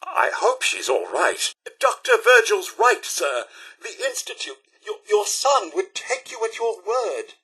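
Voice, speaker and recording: A man's voice: very high-pitched, huge pitch swings, a little slow, vocal fry, pushed and bright, slightly rough, exaggerated voice. A male actor playing a prim, loyal, fussy robot butler in a video game, with posh, theatrical British diction. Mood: concerned, solemn. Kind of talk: video game companion dialogue.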